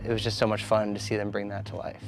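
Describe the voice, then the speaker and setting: A man's voice: on the low side, completely flat delivery, fast, poor audio. A man in an interview commenting on the making of a film and its cast. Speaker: filmmaker interviewed in featurette. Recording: music behind.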